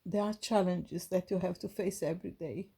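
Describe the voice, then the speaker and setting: A woman from Brazil with a Brazilian Portuguese accent, giving a gentle, halting inspirational talk to her viewers with many restarts. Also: on the low side, gravelly, husky. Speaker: spiritual vlogger.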